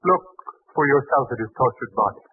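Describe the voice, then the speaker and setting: An actor playing a doubting, determined father of a sick son, with solemn, halting speech in 1940s radio drama style. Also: mid-range voice, lively intonation, lightly acted. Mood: pleading. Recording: noisy, narrow-band 1940s radio recording.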